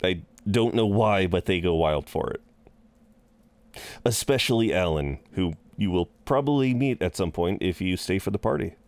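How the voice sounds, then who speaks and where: A man playing a courteous, chatty incubus innkeeper in an intimate audio roleplay, with polite, hesitant pauses and a flirtatious streak. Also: low voice, animated, darkish tone, dry recording. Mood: courteous, amused. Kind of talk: intimate scripted roleplay acting.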